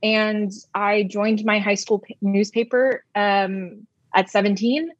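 A woman's voice: mid-range voice, fairly even intonation, slow, no echo, dull audio. A young woman whose first language is Arabic, giving candid, reflective answers in an interview, often restarting her sentences. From United States (Brooklyn, New York). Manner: reflective, with frequent restarts and soft laughs. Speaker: guest, journalist.

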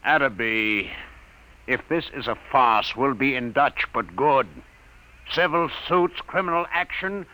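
An actor playing an irate, domineering American newspaper publisher, scolding and cutting in, in an old radio drama. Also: male voice, medium pitch, measured pace, pushed and bright, rough recording. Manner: scolding, interrupting.

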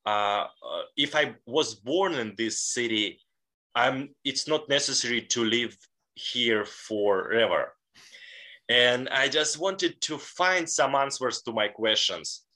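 Bright-voiced man in his thirties. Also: medium pitch, animated, slow. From Russia. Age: 30s. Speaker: guest. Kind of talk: interview answer.

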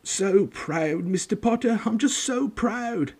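A man's voice: high voice, animated, takes it slowly, darkish tone, slightly rough, voice pushed up, exaggerated voice. A man voicing a flustered, effusive woman admirer in a storybook reading. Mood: proud, thrilled.

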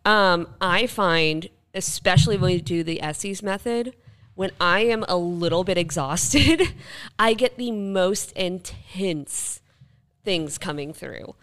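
Female co-host, lowish and clear tone, casual conversational delivery, pokes fun at herself. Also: takes it slowly, fairly bright tone. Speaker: podcast co-host. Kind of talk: casual chat.

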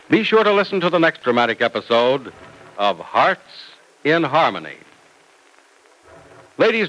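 A man's voice: medium pitch, a bit bright, poor audio. A man announcing in polished, emphatic 1940s radio style, with scripted narration and a salesman's delivery.

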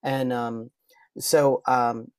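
A man's voice: mid-range voice, flat delivery, extremely slow, clear, resonant tone, dry recording. Man chatting casually, dry close sound. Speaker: guest, voice impressionist and performer. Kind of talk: casual podcast interview chat.

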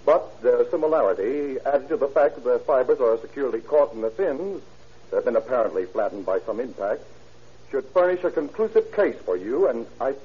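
An actor playing a careful police lab chemist who explains deliberately with hesitant murmurs in an old-time radio drama. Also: male voice, medium pitch, animated, husky. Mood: careful, explanatory. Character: careful, unhurried male police laboratory chemist. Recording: dull, noisy vintage radio recording.

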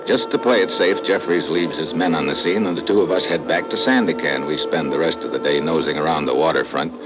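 A tough American agent with a low, deep voice. He is terse and hardboiled in vintage radio drama style, with very loud music behind him. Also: brisk, rough recording. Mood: hardboiled, steady. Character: tough, terse American government agent narrating his investigation. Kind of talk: 1950s radio drama narration and acting.

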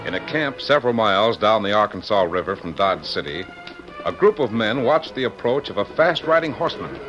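A man's voice: fairly low pitch, lively intonation, fairly quick, fairly bright tone. A man with an American accent narrating an old-time radio western in dramatic scripted style. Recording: dull vintage radio recording, music behind. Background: music.

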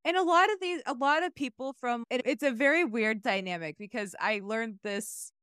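A young woman hosting a casual podcast chat, swearing freely, excitable and quick to apologize. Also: fairly high pitch, smooth, no echo, clean studio sound. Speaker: podcast host presenting research.

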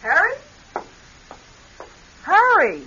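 High, animated American sister. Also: female voice, very slow, exaggerated voice. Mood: excited, welcoming. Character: anxious, caring married younger sister. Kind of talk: radio drama acting. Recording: dull, hissy vintage radio recording.

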